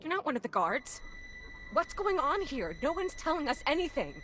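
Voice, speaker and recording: An actress playing an anxious civilian wife in a video game, pleading and emotional. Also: female voice, high-pitched, big pitch swings, moderate pace, fairly bright tone, slight background noise. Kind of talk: video game character acting.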